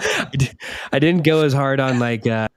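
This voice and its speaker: A man's voice: medium pitch, wildly swooping pitch, measured pace, a little hiss or hum. A man co-hosting a casual music podcast, speaking in a laid-back, hesitant way. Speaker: co-host.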